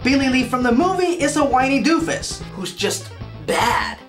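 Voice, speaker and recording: A man hosting a scripted comedic film review in an animated, sarcastic manner. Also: very high-pitched, normal speed, faint music behind. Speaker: video reviewer, show host.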